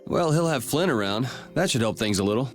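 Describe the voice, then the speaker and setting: A man's voice: mid-range voice, lively intonation, fairly quick, soft background music, close to an everyday voice. A young man voicing a carefree, cocky swordsman in a video game, with a wry, blunt, easygoing delivery.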